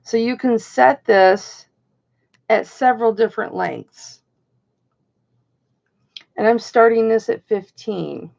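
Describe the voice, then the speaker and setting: Slightly hoarse gravelly lady doing a chatty live auction, slow and kinda high, muffled stream sound. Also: a little mellow. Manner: chatty, greets viewers by name.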